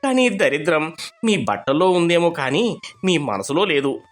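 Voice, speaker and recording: An actor playing a proud, older rich villager in an animated moral story, with a dramatic, exclamatory manner. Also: mid-range voice, moderate pace, lightly acted. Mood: remorseful.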